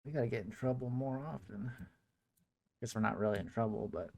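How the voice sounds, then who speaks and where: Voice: medium pitch, fairly quick, creaky, warm tone. A gaming video host giving casual reaction commentary while playing through a game.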